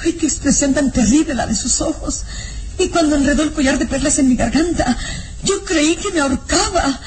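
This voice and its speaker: An actress with a Mexican accent playing an anxious, emotional young baron's daughter in a melodramatic old radio serial. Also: female voice, high voice, normal speed, darkish tone, natural voice, poor audio. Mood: distraught. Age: young adult. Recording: old radio serial with faint background noise.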